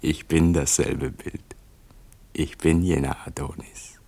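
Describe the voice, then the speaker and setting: An adoring scarecrow come to life speaks in an extremely deep voice. It is flat and husky, almost a murmur. The delivery is solemn and grandiose, in radio drama style. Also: male voice, slow pace, exaggerated voice. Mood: solemn, confessing. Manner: hushed, solemn, grandiose declamation. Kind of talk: theatrical German radio drama acting.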